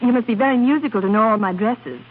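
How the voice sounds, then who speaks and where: A woman's voice: on the high side, quick pace, slight background noise, natural voice. A woman playing a coy, flirtatious opera prima donna in a 1930s radio drama, hesitant and fluttery. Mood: coy, curious. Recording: tinny, band-limited 1930s radio broadcast with music.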